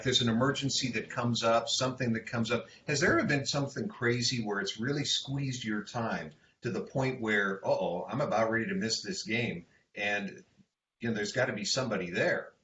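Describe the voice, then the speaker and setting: A man's voice: on the low side, normal speed, fairly bright tone. A man who works as a stadium announcer, chatting casually as a guest at a roundtable. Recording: echoey room, dull sound, background noise.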